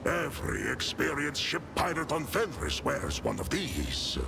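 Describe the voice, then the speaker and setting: A ceremonious sci-fi ship captain in a video game, with a rough, gravelly edge. His pitch climbs and dips dramatically. Game music sits plainly underneath him. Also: huge pitch swings, unhurried, heavily acted. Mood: formal. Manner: formal, ceremonious delivery.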